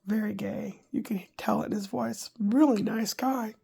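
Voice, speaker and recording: An older woman's stuffy, congested voice with a gravelly edge, chatting in a rambling, easygoing way. She talks at a middle pitch and tosses off dry little jokes at herself. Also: fairly even intonation, moderate pace, dark tone. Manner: rambling, chatty, wry asides. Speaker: vlogger. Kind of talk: casual chat to camera.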